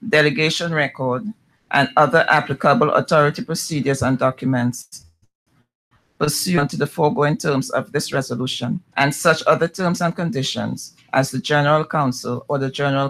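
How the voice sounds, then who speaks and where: A man presenting an audit resolution at a board meeting, reading formal text in a measured way. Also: mid-range voice, fairly even intonation, fairly bright tone.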